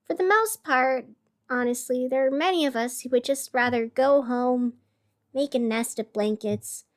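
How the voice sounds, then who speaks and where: High-voiced woman acting as a weary radio host. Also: normal speed, natural voice. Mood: wry, downcast. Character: chatty, weary radio host of a strange gloomy city. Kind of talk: scripted fiction podcast narration as a radio broadcast.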